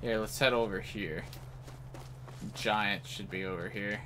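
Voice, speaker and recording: Unhurried man muttering through gameplay. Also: on the low side, pushed and bright, a little hiss or hum. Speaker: gaming video host. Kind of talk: casual live gameplay commentary. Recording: game music behind the voice. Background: video game music.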